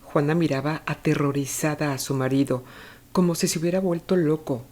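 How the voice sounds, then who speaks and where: Narrator for a literary retelling: medium-pitched voice, measured, scripted storytelling delivery with deliberate pauses. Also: steady tone, a little mellow. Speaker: narrator of a book review.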